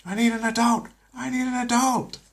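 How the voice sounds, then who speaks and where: A man's voice: very high-pitched, level tone, moderate pace, vocal fry, pitched above the performer's own voice. A man voicing a dim, easily scared teenage boy who speaks simply and whines in panic. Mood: panicky.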